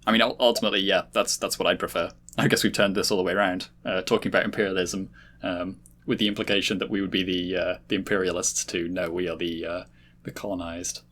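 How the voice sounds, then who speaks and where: Low-voiced analytical man in discussion. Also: level tone, a bit bright. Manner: analytical, halting, searching for words. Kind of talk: casual intellectual discussion.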